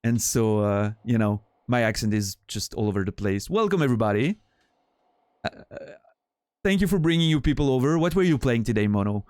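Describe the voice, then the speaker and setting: A man's voice: mid-range voice, expressive, brisk, darkish tone, clean tone, dry recording, studio quality. A man from Switzerland with a Swiss French accent, a native French speaker, chatting casually with his stream viewers in a rambling, profane way full of restarts.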